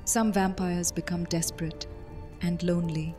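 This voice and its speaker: A woman's voice: fairly low pitch, fairly even intonation, normal speed, over music, close to an everyday voice. A woman playing a stern, commanding vampire who heads her own house, in a fantasy audio drama. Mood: grave. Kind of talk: immersive fantasy roleplay audiobook acting.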